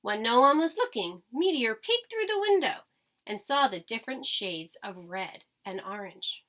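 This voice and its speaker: A woman's voice: fairly high pitch, big pitch swings, normal speed, a bit bright. A woman reading a children's storybook aloud like a teacher, expressively, with dramatic emphasis. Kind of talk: expressive children's storybook read-aloud with short asides to listeners.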